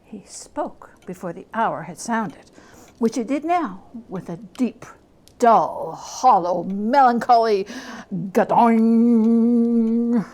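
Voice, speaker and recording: A woman giving a dramatic reading of a classic story, theatrical, lingering on words and dropping into hushed tones. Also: a little high, slow. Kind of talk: dramatic reading of literary narration.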